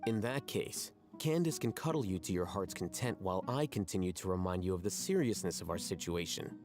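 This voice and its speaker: An actor playing an aloof, self-assured young male scholar in a video game, speaking in a measured, articulate, smug manner. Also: low voice, expressive, a little mellow. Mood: smug, dry. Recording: game music behind the voice. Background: game music.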